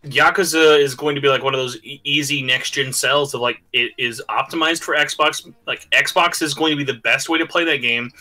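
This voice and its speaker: A man talking games on a podcast as a guest, loud, animated and emphatic. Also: medium pitch, moderate pace, few pauses, rough recording. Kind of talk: casual gaming chat.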